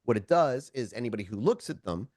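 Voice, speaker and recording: A man acts as game master for a tabletop roleplaying session, describing scenes in an informal, conversational way. His medium-pitched voice swings widely in intonation. The sound is dry, with little room around it. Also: lively intonation, quick pace, a little mellow, no room sound.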